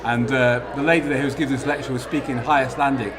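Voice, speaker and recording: A man's voice: mid-range voice, fairly even intonation, very fast. An older man from the north of England with a mixed posh northern English accent, chatting in a self-deprecating, rambling way while signing cards at a convention. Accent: posh northern English, blended northern and southern. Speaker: guest artist. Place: large convention hall. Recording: echoey hall with loud crowd.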